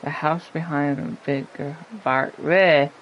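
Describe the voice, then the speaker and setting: Man, game streamer, unhurried halting delivery, casual, reads dialogue aloud, slightly raised pitch, light background noise. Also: fairly even intonation, lo-fi sound.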